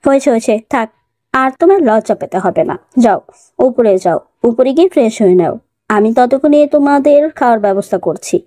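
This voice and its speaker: Audio-story mother-in-law , acted by a woman: middle-aged, motherly, bossy, mellow high voice. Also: animated, normal speed, natural voice. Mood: kindly bossy. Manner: motherly, commanding.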